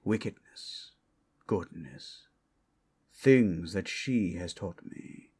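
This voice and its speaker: A man playing an ancient, solemn, protective dragon guarding its hoard in a dramatic ASMR roleplay, with a husky, breathy delivery and grave, deliberate pauses. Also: deep voice, darkish tone, full character voice. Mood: commanding, grave.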